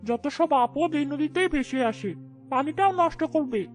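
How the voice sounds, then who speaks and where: A woman's voice: high-pitched, lively intonation, normal speed, faint music behind. A woman voicing a blunt village woman in a cartoon drama. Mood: suspicious, scolding.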